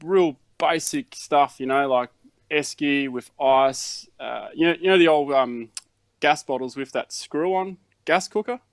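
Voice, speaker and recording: A man's voice: on the high side, a little slow, dry recording. Middle-aged Danish-born man, laid-back interview guest, dry close mic. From Denmark. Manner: laid-back storytelling with fillers and chuckles. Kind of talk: casual chat.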